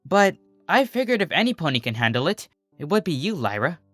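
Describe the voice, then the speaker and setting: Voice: medium pitch, sing-song, a little fast, no echo, clean studio sound, faint music behind, pitched above the performer's own voice. A performer voicing a nervous, exasperated young female cartoon unicorn who is easily flustered and scolding.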